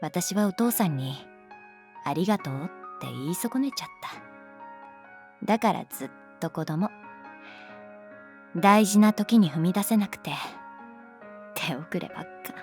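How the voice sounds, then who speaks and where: An actress playing a calm, guarded young schoolteacher in a Japanese audio drama, speaking softly and frankly. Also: female voice, fairly low pitch, faint music behind. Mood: wistful, regretful. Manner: unhurried, soft-spoken, frank. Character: adult female homeroom teacher, calm, guarded, gently detached. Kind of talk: Japanese anime-style audio drama acting.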